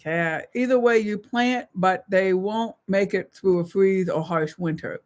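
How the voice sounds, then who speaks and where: An older woman co-hosting a casual live chat, calmly reading out and answering viewer comments. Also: mid-range voice, measured pace. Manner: calm, reads viewer comments aloud. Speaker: livestream co-host.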